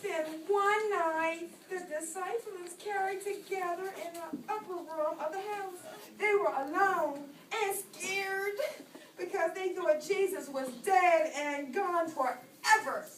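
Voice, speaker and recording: A woman's voice: very high-pitched, slow pace, fairly bright tone, exaggerated voice. A woman voicing a perky, earnest female puppet in a children's show, with exaggerated, preachy delivery. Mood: earnest storytelling. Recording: distant, echoey room with clear noise.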